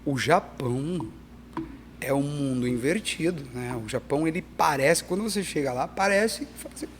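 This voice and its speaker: A middle-aged missionary pastor, talking animatedly as a guest in an interview, with frequent restarts. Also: male voice, a little high, moderate pace, a little hiss or hum. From Peru and Brazil. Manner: animated, emphatic, restarts often. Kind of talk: conversational interview.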